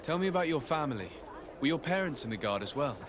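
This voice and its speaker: An actor playing a diplomatic, measured and formal nobleman in a video game. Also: a little high, sing-song. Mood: calm, decided. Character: composed, diplomatic young noble envoy. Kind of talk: dramatic video game dialogue. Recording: faint outdoor ambient noise behind.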